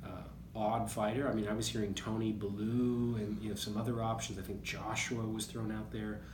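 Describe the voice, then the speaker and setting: Male sports pundit, lowish voice, casual and musing, roomy recording with noticeable background noise. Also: level tone, normal speed. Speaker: boxing journalist, guest.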